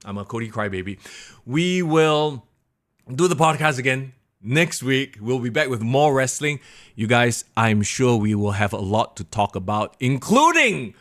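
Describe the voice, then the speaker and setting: A young man with a Singaporean English accent hosting a wrestling podcast alone, reading viewer comments in an excitable way, his voice going hoarse. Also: measured pace. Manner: excitable, animated, drops to low mutters. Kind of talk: solo live-stream chat reading viewer comments.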